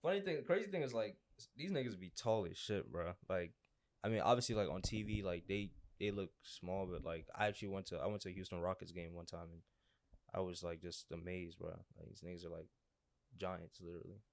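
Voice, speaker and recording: A man's voice: low-pitched, brisk. A young man sharing personal anecdotes in a casual group chat, slangy and repeating phrases for emphasis. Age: young adult. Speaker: podcast participant.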